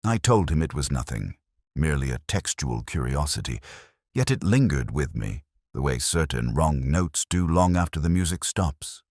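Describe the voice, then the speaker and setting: A man reads a scripted first-person detective story in a measured, literary way with deliberate pauses. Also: extremely low pitch, sing-song, darkish tone, no echo, studio quality. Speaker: narrator.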